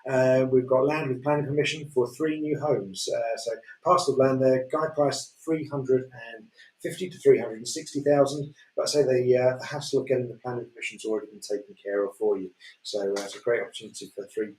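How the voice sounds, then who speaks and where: A man with a Yorkshire accent presenting auction lots in a relaxed way, full of fillers. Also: mid-range voice, fairly quick. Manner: relaxed, many fillers, chuckles. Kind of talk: casual chat describing property lots. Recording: echoey room, distant mic.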